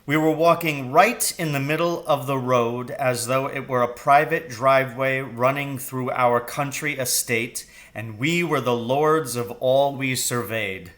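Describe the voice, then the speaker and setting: A man's voice: mid-range voice, a little slow, hardly any pauses, fairly bright tone. A man reading a children's story aloud in a scripted narration style.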